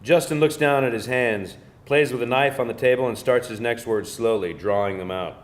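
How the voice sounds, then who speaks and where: Male narrator for a table read, mid-pitched, reading scene directions from a script. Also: normal speed.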